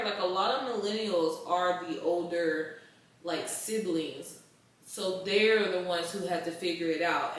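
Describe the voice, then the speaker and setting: A woman in her late twenties in a casual advice chat, blunt and emphatic, with informal slangy wording. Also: on the low side. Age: late 20s. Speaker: podcast host. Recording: roomy echo, distant mic, faint noise.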